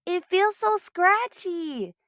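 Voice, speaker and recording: A woman voicing a young girl in a children's storybook, fussy yet playful and expressive. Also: very high-pitched, slow pace, no room sound, clean studio sound, pitched above the performer's own voice, full character voice. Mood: excited. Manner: expressive, squealing when excited.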